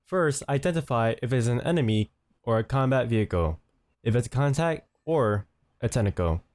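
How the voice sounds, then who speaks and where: Man narrating a tutorial, lively pitch, dry. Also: moderate pace, dry recording. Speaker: tutorial host. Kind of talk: scripted instructional narration.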